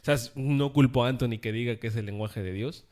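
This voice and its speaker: A man's voice: mid-range voice, fast talker, darkish tone. A man with a Mexican Spanish accent, co-hosting a casual podcast chat among friends, joking and laughing often. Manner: jokey, laughs often, uses many fillers. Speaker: podcast co-host.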